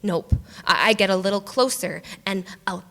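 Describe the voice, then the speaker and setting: An actress playing a weary, foul-mouthed small-island sheriff in a staged reading, stammering and halting in exasperation. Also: female voice, mid-range voice, a bit bright, close to an everyday voice. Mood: shaken. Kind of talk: live staged play reading. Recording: echoey theatre hall, live stage.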